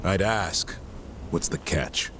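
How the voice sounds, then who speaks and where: A man playing a gruff, wary monster-hunting warrior with a dry, guarded manner in a fantasy video game. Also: sits low, takes it slowly, noisy background. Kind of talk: video game cutscene voice acting. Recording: game music under the voice.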